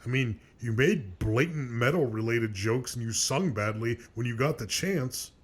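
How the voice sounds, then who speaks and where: A man playing a defensive, aggrieved loudmouth in a scripted web cartoon, whiny and argumentative. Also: medium pitch, animated, measured pace, slightly rough. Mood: doubtful, sulky.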